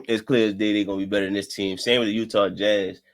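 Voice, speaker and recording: A low-voiced man co-hosting a casual basketball podcast. He talks in a relaxed way, repeats himself as he thinks, and moves the chat along to the next topic. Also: quick pace. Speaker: podcast co-host. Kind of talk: casual sports debate chat.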